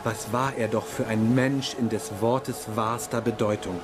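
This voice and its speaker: A man's voice: mid-range voice, steady tone, unhurried, close to an everyday voice. Man as reverent courtier, mid-range voice, lofty, effusive, radio play testimony. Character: reverent, devoted male admirer of the Kaiser.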